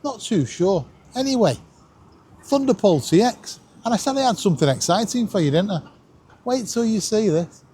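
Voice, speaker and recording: A man's voice: high voice, animated, normal speed, warm tone, a little hiss or hum. A man, chatting casually to camera as a vlog host in a jokey, self-deprecating way.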